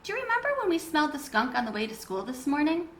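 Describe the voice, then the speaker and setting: A woman voicing a gentle, patient mother in a storybook, explaining in a reassuring manner. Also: high-pitched, very animated, fast, voice pushed up. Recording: roomy echo with background noise.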